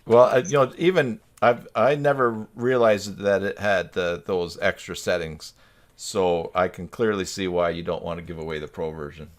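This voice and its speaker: A man's voice: low voice, steady tone, moderate pace. A man hosting a casual live stream over a video call, chatting and joking with guests.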